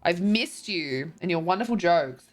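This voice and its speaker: A woman's voice: lowish voice, animated, a little slow, soft background music. A tired, congested woman, chatting casually as a streamer and reading viewer messages, rambling with lots of ums and likes.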